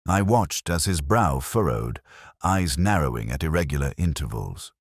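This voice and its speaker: A man with an extremely low voice narrates a story in formal, measured prose. His pitch moves freely as he shapes each scripted line, and the sound is close and dry. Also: animated, husky, dry recording. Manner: measured, formal, literary delivery. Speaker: audiobook narrator.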